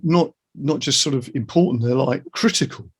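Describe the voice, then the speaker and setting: A middle-aged man chats in a casual interview with a husky voice and plenty of gravelly creak. He is reflective and earnest, setting out his opinions as he goes. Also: medium pitch, normal speed.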